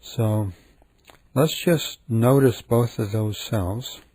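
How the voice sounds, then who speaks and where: A man leading a guided meditation in a gentle, calm, unhurried manner with frequent pauses. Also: fairly low pitch, breathy edge, no room sound.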